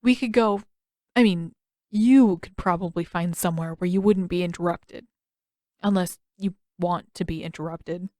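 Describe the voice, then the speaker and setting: A woman's voice: fairly low pitch, steady tone, normal speed, warm tone, dry recording, natural voice. Female narrator as teenage boy, awkward, eager, casual blurted phrasing, audio fiction, very dry sound. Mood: eager, flustered.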